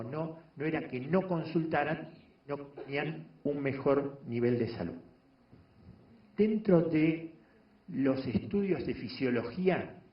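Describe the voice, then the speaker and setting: A man giving a measured lecture on meditation to an audience, pausing often and restarting phrases. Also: medium pitch. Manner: measured, explanatory, frequent pauses and restarts. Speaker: doctor giving a talk on meditation. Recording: echoey room, dull lo-fi sound.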